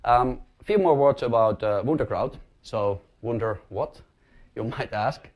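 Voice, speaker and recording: A man's voice: medium pitch, unhurried, a little mellow. A man from Finland with a Finnish accent, giving an informal conference presentation in an explanatory, somewhat hesitant way. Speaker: company chief executive giving a talk.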